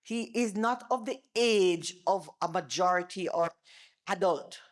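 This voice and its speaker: Middle-aged Jamaican woman, lower-pitched, attorney-lecturer type; loud, animated, talks through examples. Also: a little slow. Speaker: attorney and former bar president giving a seminar. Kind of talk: seminar presentation. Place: seminar room before an audience. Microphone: microphone she moves away from.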